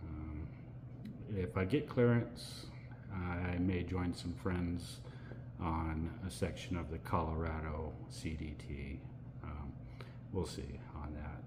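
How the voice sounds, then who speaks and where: A middle-aged man talking casually to camera as a hiking vlogger, relaxed and rambling with many fillers, filmed at arm's length. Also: rumbling low, takes it slowly, background noise. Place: outdoors by a lake, then at home indoors. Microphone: phone or camera at arm's length.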